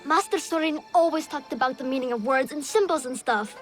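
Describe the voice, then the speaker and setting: A woman's voice: sits high, a little fast, soft background music, lightly acted. A woman playing an eager, earnest youngster in a video game, chatty and keen to please. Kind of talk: video game cutscene acting.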